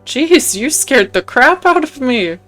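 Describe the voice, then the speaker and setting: A woman's voice: medium pitch, animated, a little slow, over music, natural voice. Woman playing a weary, defensive young waitress. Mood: startled. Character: young adult female diner waitress, weary, defensive, sarcastic.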